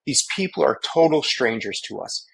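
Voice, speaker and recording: A man's voice: mid-range voice, lively intonation, normal speed, gravelly, a bit bright, a little hiss or hum, poor audio. A man working as a real estate sales trainer, giving an instructional talk in a coaching way, often checking in with his listeners. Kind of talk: instructional training talk with role-played example phone lines.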